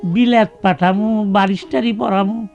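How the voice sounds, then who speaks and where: An ailing old village father in a radio play. His voice is slow and gravelly, with a rural Bangladeshi accent, doting and pleading over music on a muffled recording. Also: high voice, steady tone, darkish tone. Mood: hopeful. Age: older. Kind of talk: radio drama acting.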